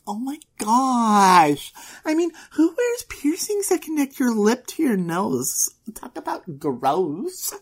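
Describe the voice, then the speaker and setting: Woman playing a breathy, ditzy valley girl, swinging wildly. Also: a little high, a little mellow, heavily acted. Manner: valley-girl drawl full of "like" and "totally". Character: vain, catty, ditzy young blonde popular girl. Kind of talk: first-person audio roleplay monologue.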